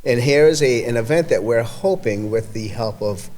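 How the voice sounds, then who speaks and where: A man with an Everett, Massachusetts accent, talking as a community event organizer in a casual podcast chat, rambling and halting with many restarts. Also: mid-range voice, darkish tone, slight background noise.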